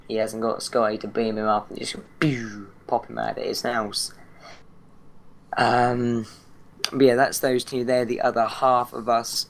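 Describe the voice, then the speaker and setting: A teenage British boy, hoarse and croaky from a sore throat, introducing his gaming channel in a rambling, chatty way full of fillers and restarts. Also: mid-range voice. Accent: British English. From Britain. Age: teens. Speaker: channel host.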